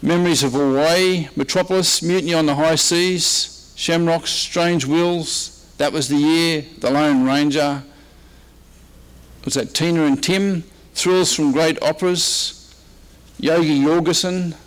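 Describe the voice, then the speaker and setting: Australian man, higher-pitched, giving an informal talk. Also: steady tone, unhurried. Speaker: historian giving a presentation. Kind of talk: informal slide-show talk. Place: convention presentation room, speaking at a microphone.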